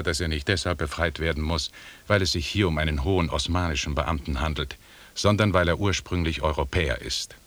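Ein Schauspieler spielt in einem Hörspiel einen selbstsicheren, pompösen englischen Expeditionsleiter, förmlich und belehrend. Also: male voice, low-pitched, fairly bright tone. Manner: pompous, lecturing. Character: self-assured, pompous English expedition leader, a knighted gentleman. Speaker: actor in a radio drama. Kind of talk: formal radio drama dialogue.

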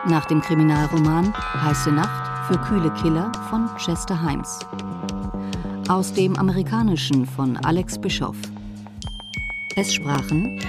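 A woman reading scripted closing credits for a radio drama in a formal announcer's style. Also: fairly low pitch. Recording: loud guitar music behind announcement.

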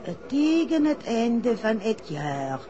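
An actress playing a dramatic fortune teller with a French accent, speaking in halting broken phrases full of warning in a 1960s radio play. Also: female voice, a little high, very animated, warm tone. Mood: mysterious. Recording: dull old radio recording, faint crowd.

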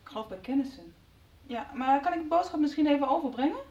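A worried, touchy teenage girl in a radio soap. Her voice is high and very animated. She sounds roomy and set back from the mic in a dull recording. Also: fast, recorded from a distance. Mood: flustered. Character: worried, irritable teenage daughter.

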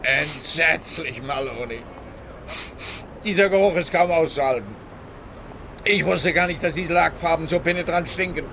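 A self-righteous police officer from a comic radio play. His voice is forceful and a little high. He grumbles and pronounces with pompous emphasis over faint background noise. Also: normal speed, long pauses. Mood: pompous complaint. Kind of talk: radio play comedy dialogue.

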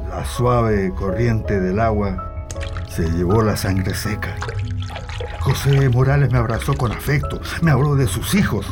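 An actor playing a former prisoner who gives reflective, colloquial first-person testimony in a radio drama, with a Chilean accent. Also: male voice, on the low side, lightly acted. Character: male former prisoner soberly recalling his detention. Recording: music behind the voice.

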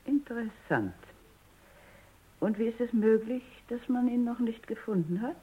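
A woman playing a stern, sharp-minded robot psychologist with a blunt, probing manner in a German radio drama, on a thin radio recording. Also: fairly high pitch, animated, measured pace, slightly rough, narrow, tinny audio. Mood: probing, cool.

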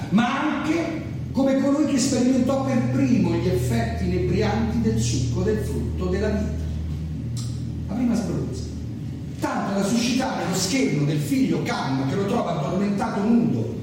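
A man performing a theatrical satirical monologue, putting mock confessions and rhetorical questions to his audience. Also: high-pitched. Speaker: solo stage performer. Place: large reverberant performance space, far from mic. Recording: distant, very echoey hall, clear noise.

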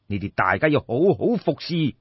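A man's voice: mid-range voice, sing-song, normal speed, slightly rough. A man with a Cantonese accent playing a respectful, formal minister of state in an audiobook. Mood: courteous, commanding. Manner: formal, courteous. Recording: dull, roomy lo-fi recording.

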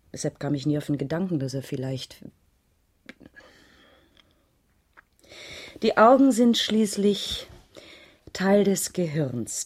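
A woman's voice: deep voice, a little mellow, husky, full character voice. A woman playing a concerned schoolteacher under questioning in a radio crime drama, speaking in a careful, reflective, slightly hesitant way. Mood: earnest, concerned. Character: concerned primary school teacher being questioned, thoughtful and defensive. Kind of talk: 1980s German radio drama dialogue.

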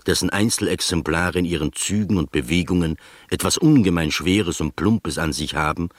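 Male actor as composed gentleman storyteller for radio play; low voice, dry close sound, literary narration. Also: normal speed, no echo, natural voice. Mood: composed, descriptive. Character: composed gentleman narrator recounting his adventure.

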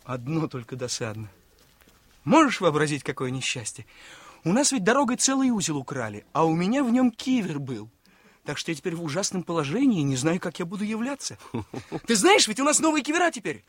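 An actor playing an eager, naive young officer and younger brother in a theatrical, exclamatory radio drama style. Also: male voice, on the high side, very animated, a little fast, fairly bright tone, breathy edge, no room sound, full character voice. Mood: chatty, excited. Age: young adult. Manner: exclamatory, boyishly chatty, emotive. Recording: radio play with faint music.

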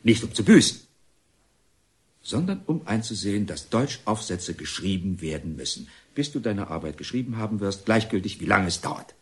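An actor playing a stern, smugly patient reformatory director in a German radio play, speaking in a pompous, declamatory way. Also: male voice, on the low side, sing-song, normal speed. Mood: lecturing, stern.

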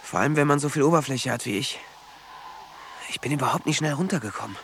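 Dreamy, obsessed teenage boy, earnest and absorbed, gravelly edge, radio drama with slight background noise. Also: medium pitch, quick pace, fairly bright tone. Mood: confident, matter-of-fact. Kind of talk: German radio drama acting.

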